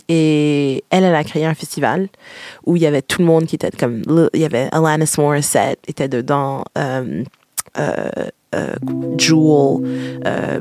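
Québécois woman in her 30s, gravelly grain, chatty with frequent laughs, music playing behind. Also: sits low. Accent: Québécois French. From Quebec, Canada. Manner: chatty, laughs often, hums tunes. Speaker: singer-songwriter interviewee. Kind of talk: casual recounting of memories.

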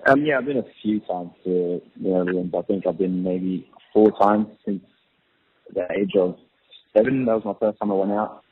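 Young aussie guy, deep raspy voice, lots of ums, sounds like a phone call. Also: normal speed. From Australia. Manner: relaxed, hesitant, many ums and uhs. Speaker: professional footballer, guest. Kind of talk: casual interview answers. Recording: tinny phone-line audio.

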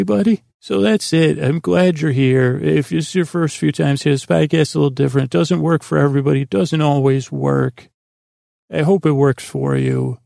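A man with a gravelly, creaky voice hosting a bedtime podcast in a meandering, halting monologue that trails off mid-thought. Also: on the high side, warm tone, no room sound, studio quality. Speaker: podcast host.

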